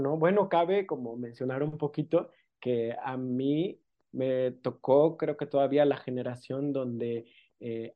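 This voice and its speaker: A man from the coast of Oaxaca whose first language is Amuzgo speaks as a guest in a relaxed interview. He talks haltingly, with frequent fillers and little tag questions, at an even middle pitch. The sound is dull and lo-fi. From Mexico, Oaxaca coast. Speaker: guest, documentary filmmaker. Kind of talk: casual interview, personal storytelling.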